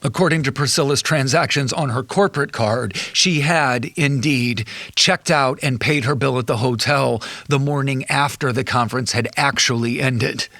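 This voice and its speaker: An actor playing a betrayed husband, an accountant, in a measured, wry and bitter confession for an audio drama. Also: male voice, medium pitch, a bit bright, dry recording. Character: betrayed husband, an accountant recounting his wife's affair.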